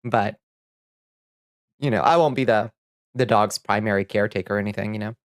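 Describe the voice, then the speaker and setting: A man's voice: fairly low pitch, a little fast, no room sound, studio quality. A man streaming a game, chatting casually with viewers and reading their messages aloud, a little sniffly, rambling and laughing easily. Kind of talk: casual chat while gaming.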